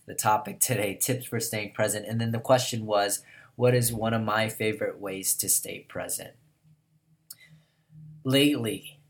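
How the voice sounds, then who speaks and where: Deep-voiced hoarse man hosting. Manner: upbeat, enthusiastic, encouraging. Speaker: podcast host. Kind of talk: casual chat.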